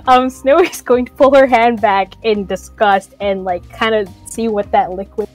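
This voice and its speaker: A woman's voice: fairly high pitch, fairly even intonation, normal speed, faint music behind. A woman playing a tabletop game, describing her character's moves in a casual, giggly, hesitant way. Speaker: player. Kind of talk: casual tabletop role-play chat.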